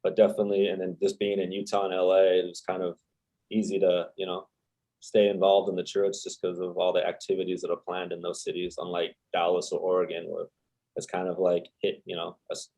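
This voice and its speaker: A man's voice: deep voice, monotone, a little fast, warm tone, low-quality recording. A young man, a podcast guest, telling his story in a rambling, casual way with lots of fillers. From El Salvador. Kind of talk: casual chat.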